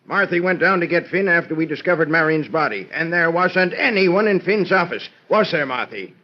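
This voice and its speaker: Excitable, defensive farm husband. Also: male voice, on the high side, moderate pace. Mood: agitated, defensive. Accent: American. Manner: insistent, excitable. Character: defensive, agitated farm husband accused of poisoning. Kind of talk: 1940s radio mystery acting. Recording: dull vintage radio recording.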